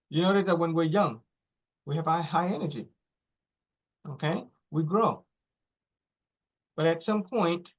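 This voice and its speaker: Man teaching slowly, dull lo-fi sound. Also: fairly high pitch. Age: 40s. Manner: explains slowly, checking in with "right?" and "okay?". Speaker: speaker. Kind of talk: teaching talk.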